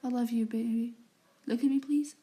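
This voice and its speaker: A woman voicing a young male wizard comforting his fiancé, speaking softly and partly in a whisper. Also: on the high side, fairly even intonation, normal speed, lightly acted. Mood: tender, soothing. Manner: soft, hushed, partly whispered.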